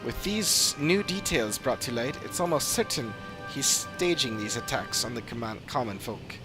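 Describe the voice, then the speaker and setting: Guy doing a formal careful fantasy detective type, mid pitch, game music behind. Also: animated, normal speed, natural voice. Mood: analytical. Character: measured fantasy investigator piecing clues together. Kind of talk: scripted game dialogue reading.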